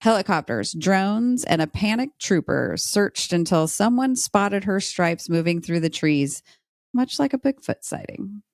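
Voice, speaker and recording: A woman's voice: medium pitch, animated, measured pace, no room sound, clean studio sound. An American woman speaking in a playful, dramatic way, as a podcast guest telling stories and chatting casually. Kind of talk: lively storytelling and casual chat.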